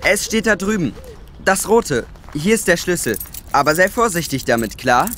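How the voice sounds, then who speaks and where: Highish, easygoing teenage boy. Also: sing-song, moderate pace, fairly bright tone, slight background noise. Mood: friendly. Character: teenage boy footballer, friendly, cool, easygoing. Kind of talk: children's audio drama acting.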